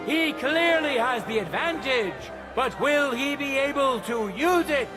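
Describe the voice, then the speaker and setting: A man's voice: very high-pitched, expressive, a little slow. A man playing a proud medieval tournament herald in a video game, proclaiming ceremoniously and projecting to a crowd. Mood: rousing, dramatic. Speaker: tournament announcer. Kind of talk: theatrical game voice acting, public proclamation. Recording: loud music and crowd behind voice.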